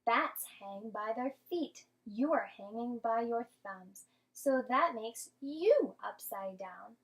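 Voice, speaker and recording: A woman voicing a loud, blunt young bat in a children's storybook, emphatic and incredulous. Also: on the high side, animated, slow pace, exaggerated voice, low-quality recording. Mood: insistent.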